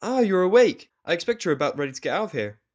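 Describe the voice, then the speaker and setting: A man's voice: high-pitched, big pitch swings, fast talker, a little mellow, pitched above the performer's own voice. A man voicing a polite, friendly female pony nurse in a cartoon fan story, pleasant and professional. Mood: friendly, helpful.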